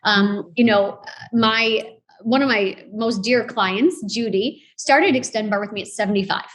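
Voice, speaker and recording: A woman of forty with a fairly high voice speaks as an interviewed fitness instructor. She is informal and conversational, stressing her points in an encouraging way. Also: a little slow, a bit bright. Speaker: podcast guest, fitness founder and instructor.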